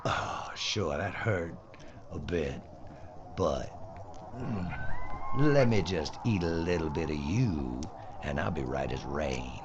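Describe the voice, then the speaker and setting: A man's voice: a bass voice, expressive, slow, exaggerated voice. An actor playing a taunting male cannibal outlaw villain in a Western horror audio drama, drawling and relishing every threat. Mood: gloating. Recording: muffled lo-fi with hiss.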